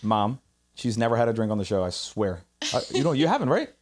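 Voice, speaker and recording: A man's voice: mid-range voice, very animated, fast talker. A man from Puerto Rico hosting a casual studio podcast interview, joking, cursing freely and often restarting his sentences. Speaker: podcast host. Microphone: studio mic.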